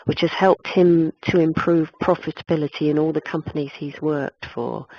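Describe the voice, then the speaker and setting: A woman's voice: low voice, muffled recording. Woman host with a formal introduction. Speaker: webinar host. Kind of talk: introducing a speaker.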